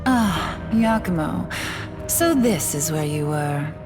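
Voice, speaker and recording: A graceful, lilting goddess. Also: female voice, low voice, animated, takes it slowly. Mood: gracious. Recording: reverberant, game music behind.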